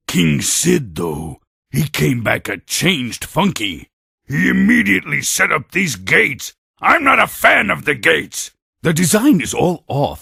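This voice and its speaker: An actor playing a boastful, brawny warrior creature in a video game, blustering and menacing in a gravelly, raspy voice. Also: male voice, a little high, pushed and bright, no room sound, studio quality, heavily acted. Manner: swaggering, bragging, menacing. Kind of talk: video game cutscene acting.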